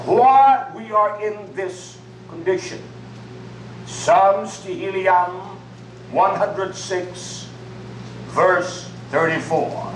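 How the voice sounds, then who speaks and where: A man preaching a sermon in short, emphatic phrases. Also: high voice. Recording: echoey room from far off with faint noise.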